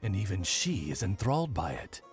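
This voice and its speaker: A man's voice: low-pitched, big pitch swings, moderate pace, over music. A man voicing a courtly, loyal raven attendant in a video game, formal and refined in manner. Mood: explaining politely. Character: dignified, courtly male raven familiar, loyal butler-like attendant.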